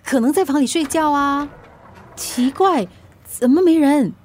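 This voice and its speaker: Female delivery rider: rather high voice, forgetful, playful, coaxing, colloquial Singaporean accent, radio drama. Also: a little slow, darkish tone. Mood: defensive. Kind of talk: Chinese-language radio drama acting.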